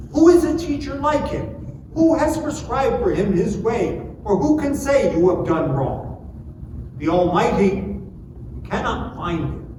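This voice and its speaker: A man's voice: sits high, distant mic. A man acting an indignant preacher in an echoing hall. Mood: challenging, emphatic. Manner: declamatory, rhetorical questioning. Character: angry, righteous man rebuking the sufferer Job. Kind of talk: dramatic scripture reading. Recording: echoey hall from afar, noisy.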